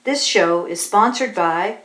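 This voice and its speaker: A woman's voice: medium pitch, slow, a bit bright, reverberant. A woman announcing a show in a scripted old-time radio style.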